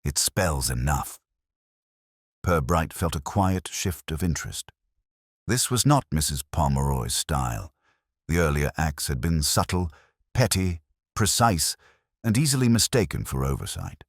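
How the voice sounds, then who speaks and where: A man's voice: extremely low pitch, sing-song, normal speed, dry recording, studio quality. A man reading scripted narration for a village mystery story.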